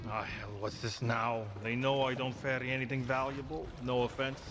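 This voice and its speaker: An actor playing a gruff, unflappable river ferryman in an audio adventure game, blunt and sardonic. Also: mid-range voice, level tone, moderate pace, natural voice. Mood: wary, matter-of-fact. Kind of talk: video game voice acting. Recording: clear river and boat noise behind.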